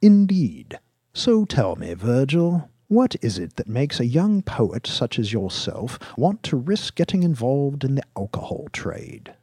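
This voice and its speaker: A man voicing a cool, sardonic male kingpin in an audiobook, speaking in a deliberate, formal, dryly ironic way. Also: mid-range voice, very animated, warm tone, husky, dry recording, studio quality, pitched above the performer's own voice, exaggerated voice. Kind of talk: audiobook character dialogue.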